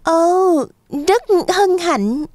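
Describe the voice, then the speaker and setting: Cartoon girl heroine, sweet, timid, polite, very high voice, lively intonation. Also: extremely slow, slight background noise, exaggerated voice. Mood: grateful, shy. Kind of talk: cartoon fairy-tale dub.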